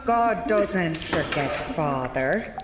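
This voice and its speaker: An actress playing a calm, sweetly sinister nun with a measured, doting manner in a horror audio drama. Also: female voice, low-pitched, heavily acted. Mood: calm menace. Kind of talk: horror radio drama acting. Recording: echoey hall, clearly noisy background.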